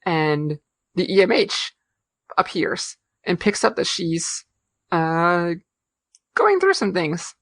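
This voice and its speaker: A woman's voice: on the low side, big pitch swings, takes it slowly, pauses often, a bit bright, no echo. Chatty woman podcast co-host, dry sound. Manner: chatty, many fillers and restarts. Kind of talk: casual chat.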